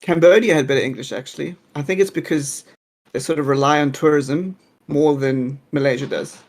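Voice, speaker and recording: A man's voice: a little high, fairly even intonation, fairly quick. A man chatting casually with his raid group over a voice call, musing and easygoing. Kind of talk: casual voice chat while gaming.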